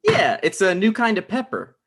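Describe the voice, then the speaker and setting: A man's voice: high-pitched, very animated, normal speed, fairly bright tone, husky, full character voice. A man playing a petty, defensive struggling writer in a comedy table read, with a hesitant manner. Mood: flustered.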